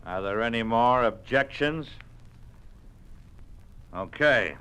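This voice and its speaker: An actor playing a blunt, menacing trade union boss with a tough, folksy American manner of speech in a 1950s radio drama. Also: male voice, medium pitch, animated, slow, pushed and bright, smooth. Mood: threatening. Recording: noisy old radio recording.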